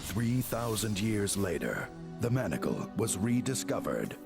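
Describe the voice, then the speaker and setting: A man tells the opening story of a cartoon in a deep, gravelly voice. He takes it slowly and dramatically, and his pitch swings widely. Loud music plays under him. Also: big pitch swings. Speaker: narrator. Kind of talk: scripted cartoon intro narration. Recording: voice-over with loud music behind.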